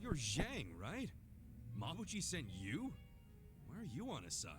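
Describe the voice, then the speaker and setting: An actor playing an earnest, hot-headed and loyal brawler in a video game dub, speaking bluntly in streetwise, informal style. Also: male voice, on the high side, huge pitch swings, unhurried, over music. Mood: suspicious, blunt.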